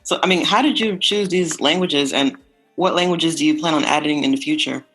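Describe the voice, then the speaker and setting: Man, podcast host, fairly high voice, encouraging, faint music behind. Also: fairly quick, fairly bright tone, poor audio. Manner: encouraging, affirming the guest. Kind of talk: interview conversation.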